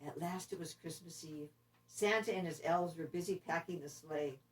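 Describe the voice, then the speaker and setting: A woman narrating a children's story expressively, with deliberate, dramatic phrasing. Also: low-pitched. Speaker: storybook reader. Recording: distant microphone.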